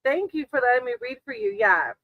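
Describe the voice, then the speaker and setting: A woman's voice: high voice, huge pitch swings, fairly quick, creaky, dry recording, phone-quality sound. A woman hosting a casual live tarot reading, talking to her chat in a reassuring, encouraging way. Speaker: tarot reader hosting a livestream.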